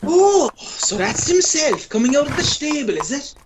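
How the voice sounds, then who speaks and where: A woman's voice: high-pitched, sing-song, moderate pace, noisy background. A woman acting an ageing, boastful Irish male sporting champion in a radio play, chatty, pompous and persistent. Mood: wheedling, chatty. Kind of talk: radio play monologue and dialogue.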